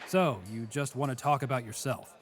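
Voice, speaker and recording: Guy doing a fed up old hand prison cellmate, stern n dry, mid pitched, bit of music behind, audio sketch. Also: normal speed, close to an everyday voice. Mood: exasperated. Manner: stern, weary, dry, exasperated. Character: world-weary, gruffly cautioning seasoned male prison cellmate.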